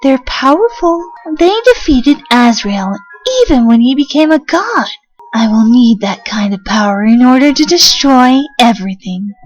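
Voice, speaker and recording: A woman's voice: fairly high pitch, expressive, unhurried, dull audio, soft background music. A woman voicing a cruel, possessing demon child with a mocking, sinister manner in an amateur comic dub. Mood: scornful. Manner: taunting, sinister.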